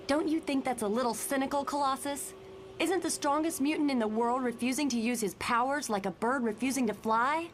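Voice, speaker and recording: A woman's voice: high-pitched, fairly quick, natural voice. A woman playing an earnest, nagging teenage mutant heroine in a motion comic, pleading and challenging. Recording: loud hissy background noise.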